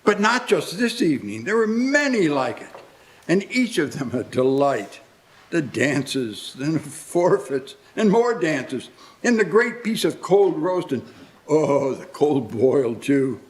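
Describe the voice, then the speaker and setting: An old miser, played by a man in a live stage radio drama, wistful and excitable. The voice is fairly high and very animated, exclaiming with feeling. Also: slow. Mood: fond nostalgia. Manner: emotional, exclamatory. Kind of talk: live radio play acting.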